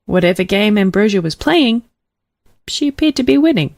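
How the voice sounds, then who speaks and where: A woman narrates a storybook as an audiobook reader. Her voice sits at a medium pitch. The recording is dry, with hardly any room sound around her words. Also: expressive, moderate pace, vocal fry, darkish tone, no room sound.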